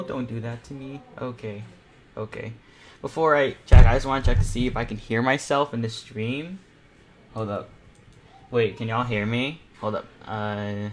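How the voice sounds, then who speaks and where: A young man in his teens talks casually on a livestream as its host. His voice sits at an average male pitch. He comes across as nervous and excitable, wandering from one thought to the next. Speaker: streamer and painting panel host. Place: indoors at a computer, live stream.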